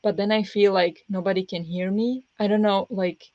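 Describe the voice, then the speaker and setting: Chatty woman with a pressed, raspy-prone voice. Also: mid-range voice, fairly even intonation, moderate pace, a little mellow, no room sound. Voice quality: pressed voice that turns raspy easily. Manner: rambling, with many fillers and restarts. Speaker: book streamer hosting reading sprints. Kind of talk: casual livestream chat with viewers. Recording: dull lo-fi dry sound.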